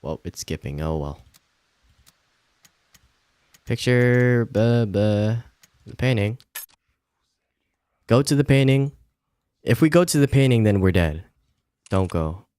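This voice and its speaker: A man's voice: lowish voice, expressive, slow pace, long pauses, a little mellow, smooth, no echo. A man commentating casually to himself as he streams a visual novel and reads game text aloud.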